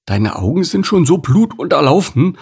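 A man's voice: a little high, big pitch swings, moderate pace, darkish tone, husky, voice pushed up, exaggerated voice. A man voicing a blunt, grumbling farm pig with sarcastic, exaggerated complaints in a storybook. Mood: grumpy, sarcastic. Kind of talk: storybook character voice.

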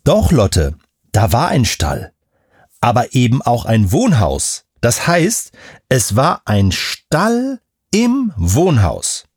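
A man's voice: mid-range voice, wildly swooping pitch, slow, darkish tone, slightly rough, no room sound, studio quality. A man explaining things simply to a child as a show's expert, conversational and often checking understanding with questions. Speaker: Bible expert on a children's show.

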